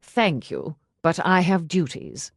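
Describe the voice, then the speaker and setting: A woman's voice: on the low side, animated, slow, dry recording, studio quality, heavily acted. A woman voicing a proud, stiff male household servant with a formal, restrained manner, in an audiobook. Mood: stiff, reserved.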